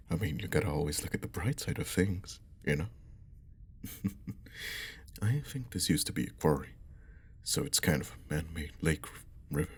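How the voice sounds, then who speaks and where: A man playing a friendly, caring young old school friend in an ASMR roleplay, speaking softly and hesitantly with a breathy half-whisper, close to the mic. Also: extremely low pitch, animated, measured pace, pauses often, full character voice. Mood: upbeat, marveling. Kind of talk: ASMR roleplay, intimate one-sided conversation.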